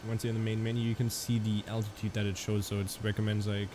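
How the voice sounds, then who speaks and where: A man's voice: lowish voice, level tone, fast talker, noisy background. A man live-streaming a flight simulator, chatting casually with viewers and rambling through fillers and restarts.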